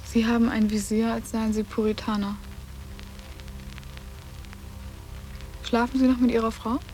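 A woman's voice: on the high side, level tone, quick pace, darkish tone, faint music behind, lightly acted, low-quality recording. An actress playing a cool, provocative schoolgirl with sarcastic, blunt and terse delivery in a radio play. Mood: probing, sardonic. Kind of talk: German radio play acting.

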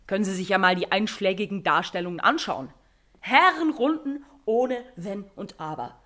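A woman's voice: mid-range voice, animated, moderate pace, a bit bright. A woman giving opinionated, colloquial commentary with emphatic, sardonic phrasing.

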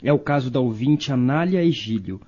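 A man's voice: medium pitch, animated, normal speed, mellow, husky. A Brazilian man reading scripted narration as a radio documentary narrator. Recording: dull, band-limited audio.

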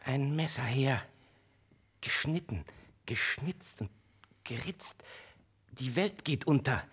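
A talking quill pen declaims rhymes in a radio drama. The voice is extremely husky and breathy, slow and theatrical, full of desperate craving. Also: male voice, medium pitch, lively intonation, a bit bright, heavily acted. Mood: anguished craving. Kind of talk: 1960s radio drama acting.

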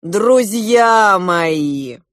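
A woman's voice: medium pitch, lively intonation, unhurried, no room sound, studio quality, natural voice. A woman voicing a boastful, imperious elder prince in an audiobook, with grand exclamatory delivery. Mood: effusive.

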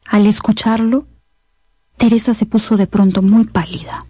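A woman giving measured, scripted dramatic narration for a radio novel. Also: medium pitch, fairly even intonation, warm tone, husky, no echo.

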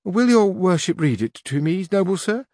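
A man's voice: high voice, expressive, moderate pace, a little mellow, no room sound, pitched above the performer's own voice. A humble, sturdy countrywoman past forty, voiced by a male reader. She talks plainly and sounds flustered. Her voice sits above the reader's own in a dry, close room.

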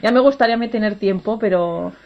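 A woman's voice: medium pitch, brisk. Chatty cheerful woman, lo-fi radio sound. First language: Spanish. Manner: cheerful, chatty, laughs often. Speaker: opera singer. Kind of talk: casual interview answers.

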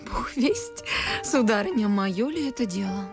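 An actress playing a pert, merry, cheeky but deferential young maidservant in a verse radio play, with lively theatrical delivery. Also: female voice, medium pitch, unhurried. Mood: sly amusement. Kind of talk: classic verse stage acting for radio. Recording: faint music under the voice. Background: music.

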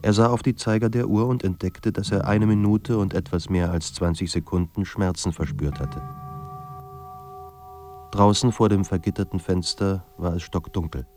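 A man performing as the grave, measured narrator of a despairing writer's life in a literary radio drama. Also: sits low, a little mellow, faint music behind, close to an everyday voice. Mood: somber, restrained.

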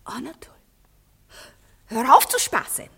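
A swooping, high-voiced jealous mistress. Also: female voice, a little slow, creaky, breathy edge, whispered, full character voice. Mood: disbelieving. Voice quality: expressive, swooping, theatrical. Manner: imperious, volatile, melodramatic. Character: spurned, passionate, jealous mistress Ilona. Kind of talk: classic German radio play acting. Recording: radio play with long reverb.

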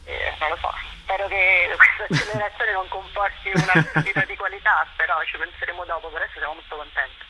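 A woman giving a casual interview, often halting as she searches for words. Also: fairly low pitch, steady tone, noisy background. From Rome, Italy. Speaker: interview guest, writer and performer.

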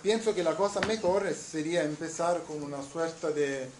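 A man from Naples with an Italian accent giving a university lecture, speaking haltingly with many restarts. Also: a little high, reverberant, slight background noise. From southern Italy, Naples. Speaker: guest lecturer.

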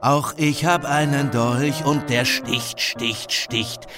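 Guy doing a creepy bitter madman, drawly then shrieky, super theatrical, loud music, radio play. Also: steady tone, slow, natural voice. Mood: bitter, unhinged. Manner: theatrical, swinging from drawl to shrill outbursts. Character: bitter, unhinged man muttering menacingly to himself. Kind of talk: German radio drama acting.

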